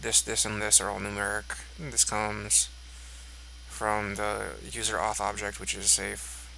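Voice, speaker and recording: A man's voice: lowish voice, completely flat delivery, unhurried, gravelly, pushed and bright, slight background noise, poor audio. A congested man thinking aloud as he walks through code on screen, musing and offhand. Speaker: code reviewer. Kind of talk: screen-recorded code walkthrough.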